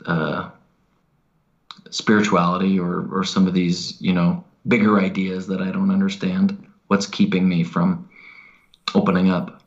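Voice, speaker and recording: A man's voice: low voice, flat delivery, unhurried, long pauses, lo-fi sound. A man in conversation, asking questions hesitantly and searching for his words as a client. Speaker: client, skeptic receiving a reading.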